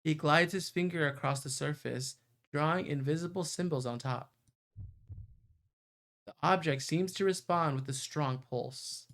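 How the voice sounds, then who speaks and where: A man with a fairly high voice and plenty of vocal fry reads a story aloud on a livestream. He is playful and chatty, and he trips over the odd word as he goes. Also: measured pace, fairly bright tone. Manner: playful, stumbles while reading. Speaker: streamer reading a visual novel aloud. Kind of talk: livestream read-aloud narration with chatty asides.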